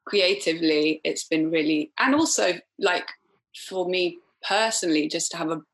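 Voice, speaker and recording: A woman from Wales, chatting as a podcast guest, full of fillers and quick laughs. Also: fairly low pitch, poor audio. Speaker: film director guest. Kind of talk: casual podcast chat.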